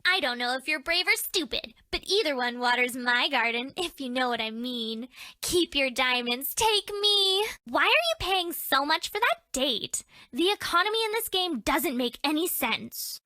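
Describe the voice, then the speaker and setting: A woman's voice: sits high, sharp tone, dry recording, heavily acted. A woman voicing a brash, mischievous, lewd young woman in a dating game, with a teasing, theatrical delivery. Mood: smug teasing. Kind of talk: video game character voice lines.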